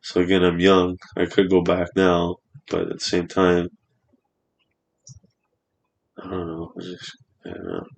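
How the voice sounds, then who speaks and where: A man's voice: deep voice, normal speed, vocal fry. A man in his twenties chatting casually on a podcast in a studio, rambling and swearing freely. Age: 20s.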